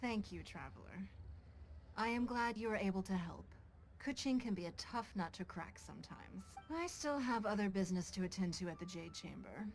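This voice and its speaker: An actress playing a composed, dignified city leader in a video game, formal, gracious and measured. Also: female voice, mid-range voice, animated, faint music behind, natural voice. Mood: concerned, gracious. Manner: formal, poised. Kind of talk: English video game voice acting.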